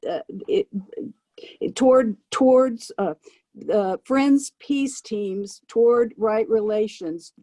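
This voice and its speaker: A woman's voice: medium pitch, mellow, no echo. A woman taking part in a workshop on a video call, recommending resources hesitantly as she searches for words. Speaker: workshop participant.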